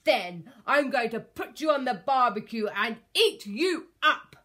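A woman voicing a great greedy male Tyrannosaurus pirate in a children's story, loud and menacing. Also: a little high, expressive, slightly rough, full character voice. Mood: gloating menace. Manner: loud, menacing, drawn-out threats.

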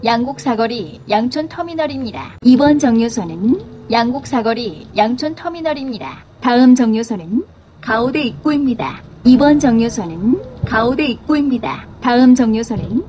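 A woman's voice: a little high, animated, normal speed, long pauses, warm tone, slight background noise. A woman reading scripted, pre-recorded bus stop announcements.

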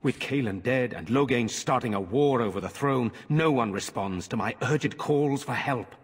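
An actor playing a grave, courteous noble lord in a fantasy game, speaking formally and earnestly in a reverberant stone hall. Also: male voice, mid-range voice, moderate pace, slightly rough, natural voice. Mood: grave, urgent. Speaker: game character. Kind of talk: dramatic fantasy game acting.

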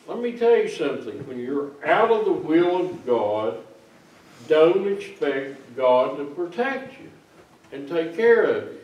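A man's voice: a little high, very animated, measured pace, muffled recording. An older man, a pastor giving a folksy, teasing children's sermon while seated among the kids in a small church. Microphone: far camera. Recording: roomy church sound from far off.

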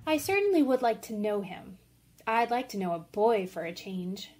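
A woman's voice: a little high, sing-song, moderate pace, darkish tone. A woman acting a bold, theatrical tomboy teenage girl in an amateur radio drama, with eager, exclamatory delivery.

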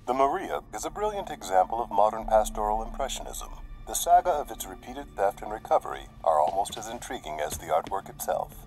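Male exhibit narrator, lowish, polished, measured, formal, game cutscene, music. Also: big pitch swings, a little mellow, poor audio. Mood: informative. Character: formal, unruffled male museum guide recording.

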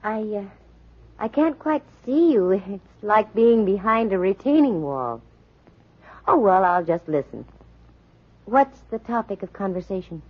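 A woman's voice: medium pitch, big pitch swings, unhurried, breathy edge. An actress playing a sassy female private eye with dry, sardonic wisecracks, in 1940s radio drama style. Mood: dryly teasing. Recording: muffled vintage 1940s radio recording.

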